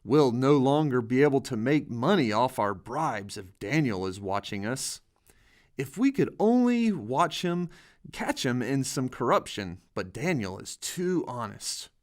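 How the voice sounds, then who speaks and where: A man voicing a scheming, flattering young royal official in a Bible storybook reading. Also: mid-range voice, unhurried. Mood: resentful plotting.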